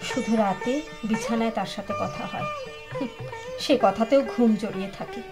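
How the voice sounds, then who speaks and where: A woman's voice: on the high side, unhurried, natural voice. An actress in a Bengali audio drama playing a wistful, unhappy wife of a rich businessman, emotional and confiding. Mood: bitter, stifled. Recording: studio drama with sitar music behind.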